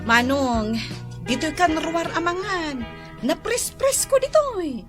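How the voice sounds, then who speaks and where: A woman's voice: very high voice, big pitch swings, measured pace, over music, exaggerated voice. A woman playing an anxious older sister with a scolding streak in a radio drama. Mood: calm. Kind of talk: radio drama acting in Ilocano and Tagalog.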